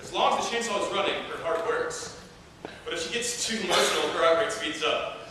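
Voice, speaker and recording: A man's voice: high-pitched, brisk, recorded from a distance, lightly acted. A man playing a quirky, vain surgeon in a theatrical way in an amateur comic stage play. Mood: fascinated. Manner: theatrical, exclamatory. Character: quirky, vain budget surgeon, grandly bemused. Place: indoor room, live performance. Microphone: distant camera. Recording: echoey hall from afar, noisy.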